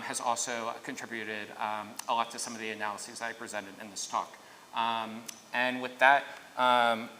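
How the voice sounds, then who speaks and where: Bright-voiced man in an echoey hall. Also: mid-range voice. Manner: halting, filler-heavy, restarts mid-thought. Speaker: researcher presenting findings. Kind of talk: conference talk with Q&A. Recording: echoey hall, faint noise.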